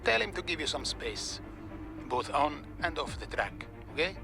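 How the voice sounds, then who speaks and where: A fairly high-voiced male racing team boss in a game cutscene. He is earnest and supportive, smoothing things over with reassurance. Clear music plays behind him. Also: sing-song, normal speed. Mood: reassuring. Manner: reassuring, conciliatory. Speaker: team principal. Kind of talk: video game cinematic dialogue.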